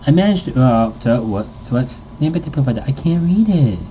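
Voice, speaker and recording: Dark, mellow-voiced man narrating a game. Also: medium pitch, expressive, measured pace, a little hiss or hum. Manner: playful, goofy improvised asides. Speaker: let's-play host. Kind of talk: reading a visual novel aloud with casual gaming commentary.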